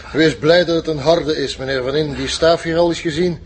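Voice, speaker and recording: An actor playing a mildly amused, matter-of-fact local police commissioner with a Flemish accent in a TV crime drama. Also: male voice, fairly high pitch, fairly even intonation, normal speed, a little hiss or hum, lightly acted. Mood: calm, dry.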